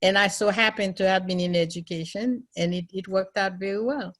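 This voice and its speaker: A middle-aged Haitian woman who is a nurse and educator, telling her story in an earnest, thoughtful way with pauses and fillers. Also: mid-range voice. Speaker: nurse and nursing educator, interviewee. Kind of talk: recorded interview, personal recollection.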